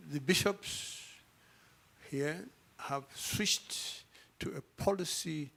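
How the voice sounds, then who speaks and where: A man from Cameroon asking a long, halting question from the audience at a panel event. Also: a little high, vocal fry, fairly bright tone. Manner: halting, with many pauses and fillers.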